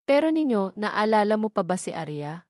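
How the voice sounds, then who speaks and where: A woman's voice: medium pitch, big pitch swings, normal speed, a little mellow, no room sound, clean studio sound, lightly acted. A woman voicing a fretful, doting middle-aged mother in a romance audio drama. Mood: worried, insistent.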